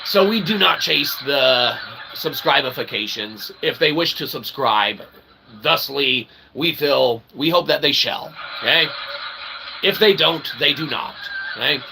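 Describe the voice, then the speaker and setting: Gravelly man in a rambling monologue. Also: mid-range voice, expressive, measured pace, rough recording. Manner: wordy, mock-formal phrasing with folksy asides; emphatic, often checking in with "okay" and "right". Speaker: channel host. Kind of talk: rambling philosophical monologue to viewers. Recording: clear background ambient noise.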